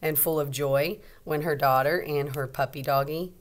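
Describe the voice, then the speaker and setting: A woman teacher reading a storybook aloud to young children, expressively, pausing to explain and ask simple questions. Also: low-pitched, steady tone.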